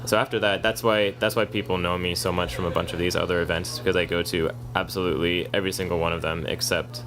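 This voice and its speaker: A deep, low-voiced man speaks in a flat monotone, a co-host who talks at length and likes to argue in casual podcast chat. Music plays clearly behind him. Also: fast. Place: kava bar.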